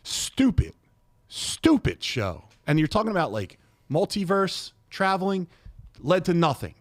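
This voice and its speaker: A man's voice: sits high, very animated, measured pace, no room sound, clean studio sound. A man hosting a casual podcast, talking in a blunt, emphatic, opinionated way with plenty of swearing. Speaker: podcast host. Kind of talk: casual chat, opinionated ranting about shows.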